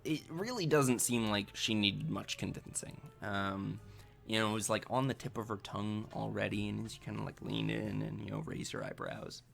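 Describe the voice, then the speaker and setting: Gravelly-edged man narrating a tabletop game. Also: on the low side, a bit bright, faint music behind. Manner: casual, halting, filler-heavy. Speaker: game master.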